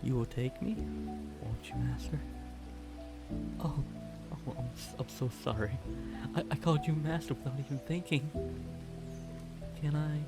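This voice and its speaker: A man voicing a clingy, devoted stray catboy in an ASMR roleplay, pleading and submissive, in halting phrases. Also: a little high. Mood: timid, pleading, then submissive, eager. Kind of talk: ASMR roleplay monologue addressed to the listener. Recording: loud music and rain behind. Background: music and rain.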